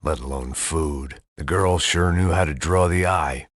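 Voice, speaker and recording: An actor playing a middle-aged, hard-drinking ex-cop in a noir video game, with a deadpan, sardonic, self-loathing manner. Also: male voice, rumbling low, unhurried, no room sound. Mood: sardonic, brooding. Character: world-weary, hard-drinking male ex-cop turned failing bodyguard.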